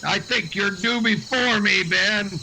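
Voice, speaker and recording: A man playing a stern, wry frontier marshal in a western radio drama, steady and unyielding. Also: high voice, measured pace, heavy background noise. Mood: challenging.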